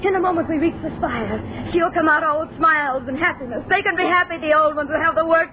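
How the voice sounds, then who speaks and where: A woman's voice: sits high, brisk, a faint crowd, lightly acted. An actress playing a frantic young woman rebel in an old-time radio horror play, urgently and insistently commanding on a noisy, muffled vintage broadcast. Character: frantic young woman rebel of a deathless future, pushing to kill.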